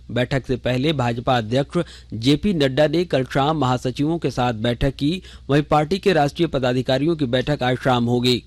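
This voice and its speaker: A man reading a scripted radio news bulletin in a measured, formal way. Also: mid-range voice, darkish tone, dry recording. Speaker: news reader. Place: radio studio.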